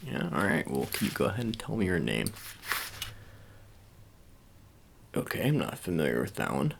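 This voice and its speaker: A man's voice: low-pitched, sing-song, fast talker, creaky, noisy background, exaggerated voice. A man playing an awkward, politely doubtful sports agent in an improvised ASMR roleplay, stammering and repeating his phrases as he talks to the listener.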